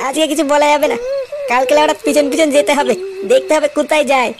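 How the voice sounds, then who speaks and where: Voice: high voice, fairly even intonation, quick pace. A performer voicing a jealous, hot-tempered cartoon husband who rants coarsely in a Bengali accent. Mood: suspicious, grumbling. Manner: coarse, ranting, cursing. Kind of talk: Bengali comedy cartoon dubbing. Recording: clear noise with bird chirps.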